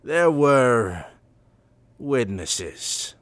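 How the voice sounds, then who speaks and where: A menacing mob boss from an audio drama, acted by a man, blunt, crude and hungry for power. His voice is forceful and swings widely in pitch as he takes his time over each order. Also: big pitch swings, drawn-out words, no echo, studio quality, full character voice. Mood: commanding. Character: scheming, foul-mouthed, power-hungry male gangster boss.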